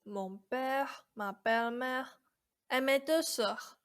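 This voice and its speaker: Woman playing stubborn young Cinderella, high and slow. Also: a bit bright, clear, resonant tone. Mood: dry, sceptical. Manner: dry, stubborn, guarded. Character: sullen, skeptical young Cinderella who challenges her fairy. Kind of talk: stage-like radio play acting.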